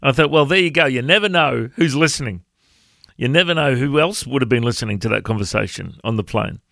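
Australian male pastor, big voice, storytelling radio devotion, slight fry. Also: mid-range voice, lively intonation, fast, pushed and bright, no room sound, studio quality. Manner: storytelling, conversational.

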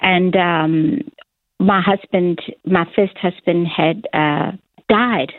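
A woman's voice: lowish voice, fairly even intonation, slow, dry recording. A woman in her sixties from South Africa, telling her life story as an interview guest in a chatty, rambling way with frequent laughs. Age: 60s. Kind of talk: casual testimony interview. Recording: tinny phone line.

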